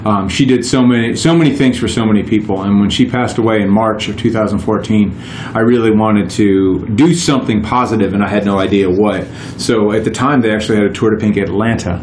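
A man's voice: on the low side, fairly even intonation, brisk, darkish tone, echoey room, slight background noise. A man in his forties, a guest on a podcast, telling his stories in a casual, rambling way with many restarts. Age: 40s. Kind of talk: casual chat.

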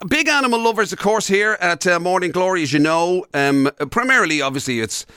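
A man's voice: high-pitched, animated, a little fast, pushed and bright, clean tone, dry recording, clean studio sound. An Irish man co-hosting a radio show, chatting casually in a wry, conversational way.

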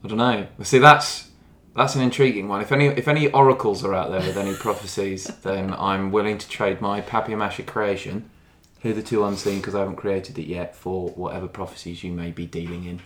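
A man's voice: low-pitched, steady tone, a little fast, hardly any pauses, fairly bright tone. A man chatting casually and comically on a podcast, wry and wordy in a mock-grandiose way. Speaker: podcast contributor. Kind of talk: casual comedic chat.

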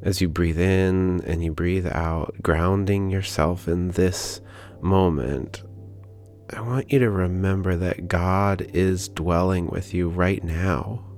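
A man leading a guided prayer meditation in a calm, gentle, unhurried manner with pauses. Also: sits low. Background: background music.

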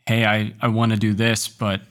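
A man's voice: fairly low pitch, level tone, moderate pace, fairly bright tone. A man who covers pro football, co-hosting a casual podcast chat and chiming in with eager questions and encouragement. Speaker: sports reporter and podcast host.

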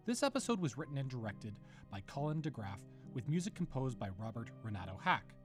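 A man's voice: medium pitch, sing-song, fairly quick. A man hosting an audio drama production, giving a friendly scripted outro with thanks and credits. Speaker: producer and show host. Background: guitar music.

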